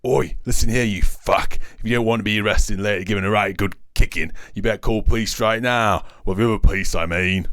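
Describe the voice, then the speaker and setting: A man's voice: fairly low pitch, steady tone, a little fast, few pauses, a bit bright, dry recording, studio quality. A man voicing an injured, foul-mouthed police officer in a storybook, urgent, desperate and frightened. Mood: angry. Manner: urgent, pleading and swearing.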